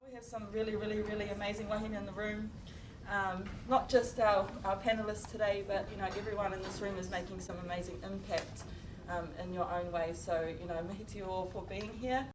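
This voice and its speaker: A woman welcomes a live audience in a loose, relaxed way, full of ums and you-knows. She is picked up from well back in the room, and clear background noise runs under her voice. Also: mid-range voice, fairly quick. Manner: relaxed, with frequent fillers. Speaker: event host. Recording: distant mic in a noisy room.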